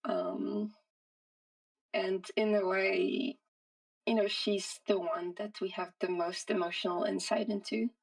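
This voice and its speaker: A woman's voice: medium pitch, flat delivery, slow pace, gravelly, far from the mic, poor audio. A woman speaking casually and thoughtfully as an interview guest, feeling her way through her answers with some hesitation. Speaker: interview guest, indie game creator.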